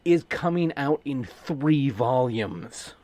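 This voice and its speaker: A man co-hosting a podcast in casual chat, animated and quick with asides and casual swearing. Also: mid-range voice. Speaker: podcast co-host.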